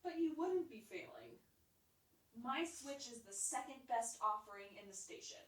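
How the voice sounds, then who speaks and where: A woman's voice: a little high, expressive, moderate pace, close to an everyday voice. A woman voicing an earnest, naive young child in a puppet show, hopeful, insistent and pleading. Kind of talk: live puppet show acting. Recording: echoey room, distant mic, noisy.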